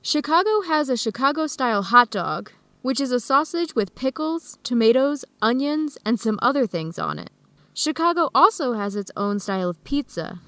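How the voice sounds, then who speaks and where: Easygoing American woman, higher-pitched voice. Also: dry recording, exaggerated voice. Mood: informative. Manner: measured, explanatory, even-tempered. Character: friendly American woman hosting Ethiopian friends, easygoing, tolerant. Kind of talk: educational radio drama dialogue, clearly worded for learners.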